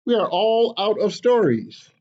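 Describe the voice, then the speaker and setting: A woman's voice: medium pitch, very animated, highs cut off, pitched above the performer's own voice. Woman reading as a patient, weary chicken papa. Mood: tired, patient. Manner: slow, drawn-out, gently admonishing. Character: patient, weary father rooster scolding his child gently. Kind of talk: storybook read-aloud.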